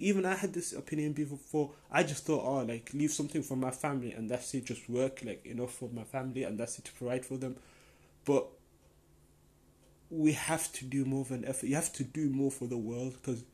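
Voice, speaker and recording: A man's voice: mid-range voice, darkish tone. Young man rambling through a Q&A. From Denmark. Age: young adult. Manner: rambling, lots of "like" and "um", enthusiastic. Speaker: podcast host. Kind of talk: casual solo Q&A chat.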